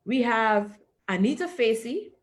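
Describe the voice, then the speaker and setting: A woman's voice: on the high side, animated, unhurried. Brief: woman host of a video-call chat, emphatic, keeps checking in with her listeners. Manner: emphatic, repeats "all right?" and "okay?".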